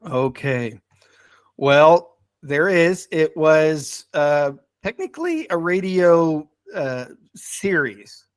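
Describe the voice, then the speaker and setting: Guy talking on a podcast, kinda high voice, really into it, chuckles a lot, very dry sound. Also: very animated, extremely slow, clear, resonant tone, dry recording. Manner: enthusiastic, chuckles while talking. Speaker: podcast guest presenting a ranked list. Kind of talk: casual podcast chat.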